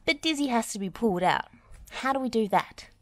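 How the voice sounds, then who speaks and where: Storybook lead: capable woman builder, animated, earnest and decisive, voiced by a female narrator. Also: on the high side, brisk, no echo, studio quality, voice pushed up. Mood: concerned.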